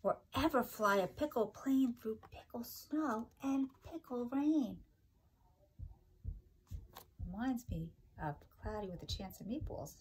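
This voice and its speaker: A middle-aged woman reading a picture book aloud to young children like a teacher, with expressive, sing-song delivery and chatty asides. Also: fairly high pitch, measured pace, a little hiss or hum, poor audio. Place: kitchen-like room at home, indoors.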